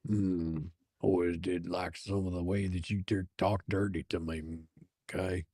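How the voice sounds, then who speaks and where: A man playing a cocky, flirtatious chef in a romance drama, with a smooth, teasing drawl. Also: low voice, flat delivery, a little slow, dark tone, no echo, clean studio sound. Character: Liam, cocky flirtatious male chef, arrogant and mischievous.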